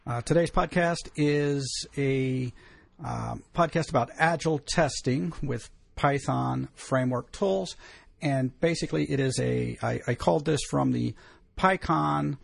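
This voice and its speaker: A man's voice: mid-range voice, measured pace, gravelly, dry recording. A man hosting a podcast, introducing the episode in a hesitant, unscripted way with fillers. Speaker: podcast host.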